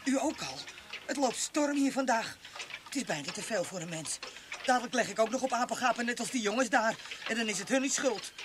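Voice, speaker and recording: A woman's voice: fairly high pitch, expressive, a little fast, music in the background, lightly acted. A woman playing an indignant, fussy, complaining landlady in a vintage radio play. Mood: flustered. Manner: complaining, flustered.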